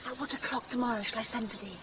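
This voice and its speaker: A woman's voice: a little high, fairly even intonation, rapid-fire, over a noisy crowd, close to an everyday voice. An actress playing an impulsive, tender young noblewoman in love, speaking theatrical Shakespearean verse in a 1950s radio play style. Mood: lingering, adoring. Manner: breathy, theatrical verse delivery, often hushed. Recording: noisy, dull vintage 1950s radio broadcast.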